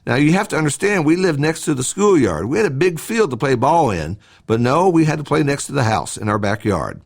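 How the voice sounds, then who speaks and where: A man reads a scripted devotional talk as a church podcast host, in a measured, instructive way with short emphatic phrases. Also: fairly high pitch, big pitch swings, creaky.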